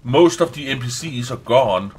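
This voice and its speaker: A man's voice: mid-range voice, expressive, normal speed, darkish tone, husky. A man doing casual let's play commentary, reacting aloud in a crude, jokey, exclamatory way.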